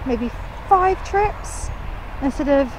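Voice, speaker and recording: A woman's voice: high voice, lively intonation, slow pace. A woman in her thirties with a southern English accent, chatting casually and enthusiastically in an informal vlog, recorded outdoors on a handheld camera. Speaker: gardening vlogger. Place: outdoors on an allotment, partly in a shed. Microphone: handheld camera at arm's length. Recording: clear outdoor background noise.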